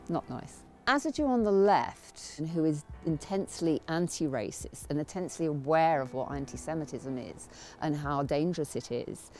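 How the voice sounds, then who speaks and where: A woman giving personal testimony as an activist, speaking in a grave, deliberate manner. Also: sits low, dark tone.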